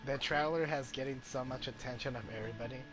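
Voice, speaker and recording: A man giving casual live commentary as a game streamer, chatty and a little halting. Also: mid-range voice, fairly even intonation, over loud music. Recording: faint background noise.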